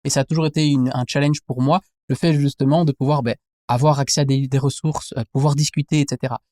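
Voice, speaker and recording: A man's voice: medium pitch, fairly even intonation, quick pace, dark tone. A man, reflecting thoughtfully in a conversational interview and rephrasing as he goes. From Belgium. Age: 20s. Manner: thoughtful, searching, reformulates as he goes. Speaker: interview guest, anthropologist.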